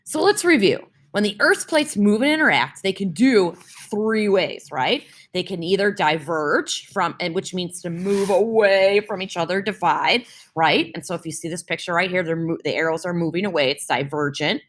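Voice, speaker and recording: A woman's voice: on the low side, lively intonation, normal speed, hardly any pauses. Woman teacher who keeps asking "right?". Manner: explains with homely comparisons and keeps checking in with "right?". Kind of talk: reading a science text aloud for a class.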